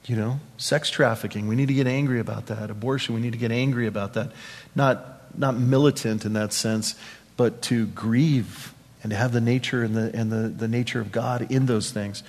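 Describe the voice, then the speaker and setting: A man's voice: mid-range voice, fairly even intonation, moderate pace, darkish tone. A man preaching a conversational verse-by-verse sermon, often restating phrases and putting questions to his listeners. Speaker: pastor, preacher. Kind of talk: sermon, verse-by-verse Bible teaching to a congregation. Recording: long hall reverb.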